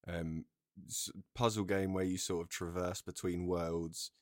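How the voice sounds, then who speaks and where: A man's voice: deep voice, flat delivery, unhurried, a little mellow, no room sound, clean studio sound. A man co-hosting a podcast, chatting casually and explaining things in everyday conversational language. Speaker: podcast co-host. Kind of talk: casual chat.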